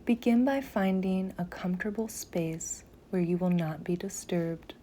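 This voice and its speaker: A woman guiding a meditation in a soothing, gentle manner, softly breathy at phrase ends, with long pauses between short phrases. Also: on the low side, a little hiss or hum. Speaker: yoga nidra meditation guide. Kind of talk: slow guided meditation.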